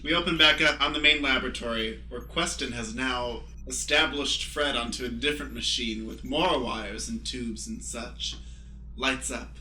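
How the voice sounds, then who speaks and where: A man's voice: medium pitch, moderate pace, bright, forceful tone, reverberant, a little hiss or hum, poor audio. A man narrating stage directions as the stage manager of an improvised radio play.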